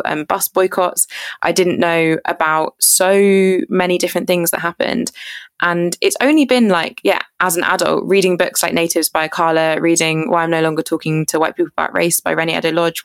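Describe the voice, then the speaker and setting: A woman's voice: on the low side, level tone, a little fast, vocal fry, dry recording, studio quality. A woman in her twenties with a southern English accent, talking in an earnest, rambling, casual way as an interview guest. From Surrey, England (born in Jamaica). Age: 20s. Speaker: podcast guest, activist and writer. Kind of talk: casual reflective interview talk.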